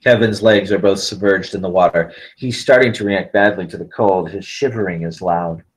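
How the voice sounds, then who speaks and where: Deep-voiced male screenplay narrator. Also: moderate pace, rough recording. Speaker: stage-direction narrator. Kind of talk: scripted narration at a film table read.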